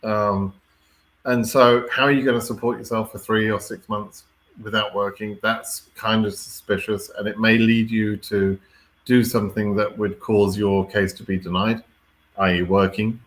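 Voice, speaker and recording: A man's voice: fairly low pitch, flat delivery, normal speed, poor audio. Blunt British man hosting a live Q&A. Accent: British English. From Britain. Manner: blunt, wry, mildly exasperated, sweary, checks in with "right?". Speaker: host of a live question-and-answer stream. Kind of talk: informal live Q&A, reading and answering viewer questions.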